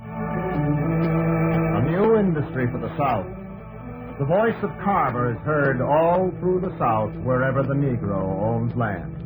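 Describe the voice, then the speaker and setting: A man's voice: medium pitch, animated, slow pace, long pauses, low-quality recording. A man narrating a 1950s radio documentary in a grave, theatrical, declamatory style. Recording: old radio broadcast under loud music.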